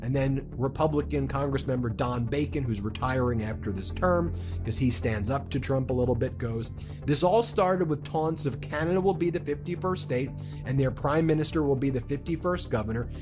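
A man's voice: mid-range voice, moderate pace, over music. A man commenting on the news and reading out posts, hesitant with fillers and restarts.

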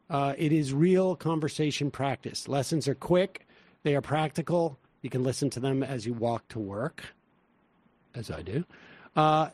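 A man with a Massachusetts accent talks in a fairly high voice. He reads a sponsor spot casually, wandering off into asides and restarts. The sound is dry and close, with no room in it. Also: darkish tone, no echo. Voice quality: loose, rambling delivery with fillers and restarts. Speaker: podcast co-host. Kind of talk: casual political talk and host-read ad.

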